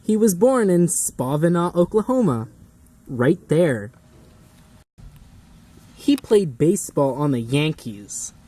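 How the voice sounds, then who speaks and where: A man's voice: on the high side, big pitch swings, slow, pauses often, warm tone. Distant-miked man presenting amateur news. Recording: distant mic, music behind. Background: music.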